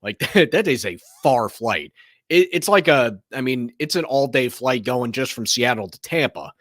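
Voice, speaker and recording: Slightly hoarse man giving sports takes. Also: mid-range voice, animated, moderate pace, fairly bright tone, no echo, clean studio sound. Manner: rambling and opinionated, frequent restarts. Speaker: sports show host. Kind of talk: casual opinionated sports commentary.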